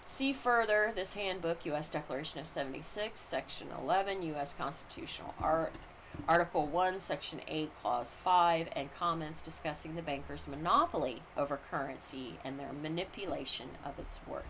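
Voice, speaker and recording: Low-voiced woman reading formally, noisy recording. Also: normal speed, a bit bright. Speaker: audiobook-style reader. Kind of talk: read-aloud legal commentary.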